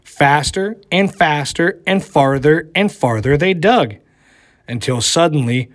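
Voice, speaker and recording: A man teaches and reads aloud for children. His voice sits a little high and moves with lively swings. It carries a long echo from the room. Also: takes it slowly. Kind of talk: animated read-aloud and lesson for children. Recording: echoey room with long reverb.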